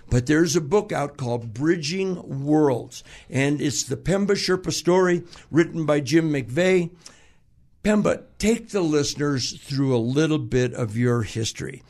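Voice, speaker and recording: A man's voice: fairly high pitch, a little mellow. A male radio host interviewing a guest, jokey and talkative, laughing often and asking long leading questions. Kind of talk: casual interview.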